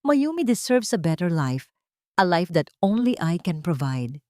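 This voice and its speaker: A woman's voice: on the low side, very animated, unhurried, darkish tone, dry recording. An arrogant estranged husband from an audio romance drama, acted out by a woman narrator with a Filipino accent. He speaks with contempt and authority, threatening as he switches languages. The sound is very dry. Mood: disdainful.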